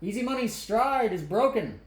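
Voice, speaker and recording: A woman voicing an excited horse race announcer on the radio, in a table read. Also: on the high side, moderate pace, roomy sound, close to an everyday voice, low-quality recording. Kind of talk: race commentary.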